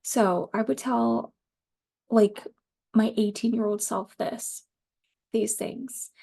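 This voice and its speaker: Casting: woman podcaster, thirties, light higher voice with a soft, relaxed tone, informal and rambling. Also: slow, gravelly. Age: 30s. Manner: informal, rambling, laughs easily, occasional swearing. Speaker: podcast host. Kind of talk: casual solo podcast chat.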